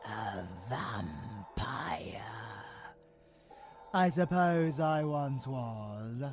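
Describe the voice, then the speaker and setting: A man's voice: medium pitch, lively intonation, extremely slow, over music. A British man playing a sadistic ancient male vampire in an audio roleplay, with a theatrical, mocking, taunting delivery. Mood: amused, dismissive. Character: sadistic grey-skinned ancient vampire monster, male, gleefully predatory. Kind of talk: audio roleplay villain acting.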